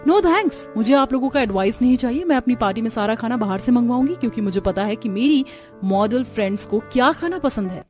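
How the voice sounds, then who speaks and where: A woman's voice: fairly high pitch, animated, quick pace, darkish tone, faint music behind, lightly acted. A woman playing a snobbish modern young sister-in-law who sprinkles English into her speech. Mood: dismissive.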